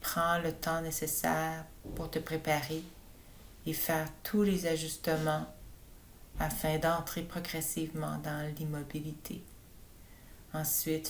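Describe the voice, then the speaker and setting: Low-pitched woman guiding a sleep meditation. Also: slight background noise. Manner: slow, calm, soothing instruction. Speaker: yoga teacher leading relaxation. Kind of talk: guided meditation narration for sleep.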